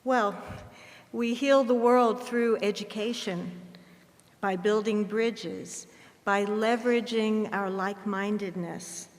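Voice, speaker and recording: Female event presenter, earnest and unhurried, ceremonial script, long hall reverb. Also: mid-range voice, steady tone. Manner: measured, earnest.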